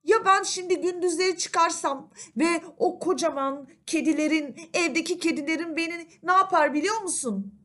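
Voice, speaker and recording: A woman's voice: very high-pitched, normal speed, hardly any pauses, a bit bright. A woman voicing a tiny, timid but cheeky grey mouse in a fairy tale, with an exclaiming, protesting manner. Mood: indignant.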